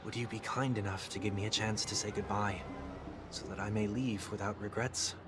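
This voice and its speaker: An actor playing a courteous, guarded young man in a video game, speaking formally and in measured phrases over music. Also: fairly low pitch, fairly even intonation, background noise, lightly acted. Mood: pleading, polite. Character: soft-spoken, courteous, guarded young male former captive bidding his home farewell.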